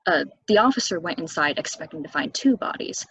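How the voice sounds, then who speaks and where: Casting: actress as solitary small-town young woman, low husky voice, calm and matter-of-fact, stage drama read. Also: brisk, heavily acted, low-quality recording. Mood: storytelling. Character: Cheryl, calm, solitary young local woman who knows the house's legend. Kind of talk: stage play table reading.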